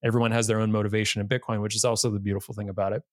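A man's voice: fairly low pitch, flat delivery, rapid-fire, no echo, clean studio sound. A man hosting a podcast, talking casually and rambling, leaning heavily on "like" and restarting his sentences. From United States, Massachusetts area. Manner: rambling, heavy use of "like", restarts. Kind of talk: casual interview conversation.

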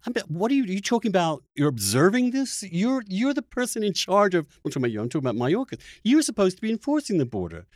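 A man's voice: high voice, very animated, quick pace, few pauses, no room sound, clean studio sound. A man hosting a podcast interview, speaking in an opinionated, argumentative and questioning way with a lot of emphasis. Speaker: podcast host.

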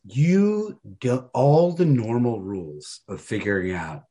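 A man's voice: mid-range voice, animated, takes it slowly, warm tone, slightly rough. A man co-hosting a podcast, talking through a story casually and analytically in a rambling, emphatic way, full of "like". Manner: rambling, emphatic, peppers talk with "like". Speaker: podcast co-host.

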